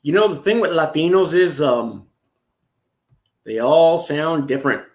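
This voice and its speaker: A man's voice: a little high, expressive, a little slow, a little mellow, echoey room. A man from California talking crude and jokey on a casual livestream, easily flustered. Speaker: streamer reacting to videos.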